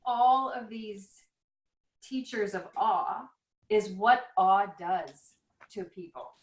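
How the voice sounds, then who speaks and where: A woman's voice: medium pitch, slow. Rambling, reflective woman in an echoey room. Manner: rambling, reflective, self-questioning. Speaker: author. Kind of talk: casual conversation over a call. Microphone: video call. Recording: roomy echo.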